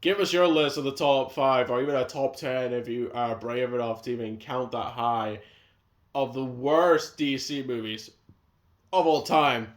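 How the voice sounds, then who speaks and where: A man's voice: medium pitch, steady tone, unhurried. A man hosting a casual chat that ranks movies, rambling and opinionated, with frequent restarts. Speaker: video host. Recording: echoey room sound.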